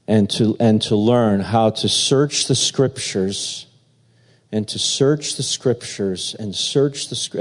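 Male pastor, slow and warm-toned, halting delivery with fillers; preaching and reading scripture. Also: lowish voice. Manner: halting, filler-heavy, then steady reading.

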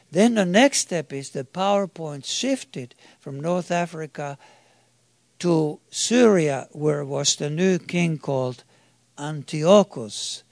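A man preaching a sermon to a congregation, emphatic and halting, with restarts and questions put to his listeners. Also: on the high side, gravelly, no echo.

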